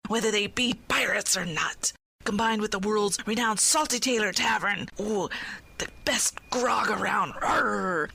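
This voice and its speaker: Lady doing a playful pirate radio host, bright punchy voice, hearty arrs n pirate grammar. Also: medium pitch, a little hiss or hum.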